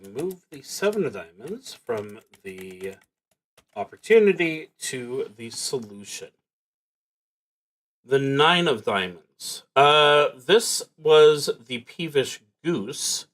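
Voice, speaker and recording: Slow-paced man thinking aloud through a game. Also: mid-range voice, sing-song, fairly bright tone, rough recording. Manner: halting, reflective, working through moves aloud. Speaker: streamer playing a solo tabletop roleplaying game. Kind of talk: live solo game stream, thinking aloud.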